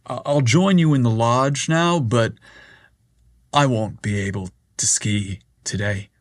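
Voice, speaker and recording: Narrator voicing a polite young lawyer, hesitant and stammering, measured and dry. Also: male voice, mid-range voice, dry recording, lightly acted.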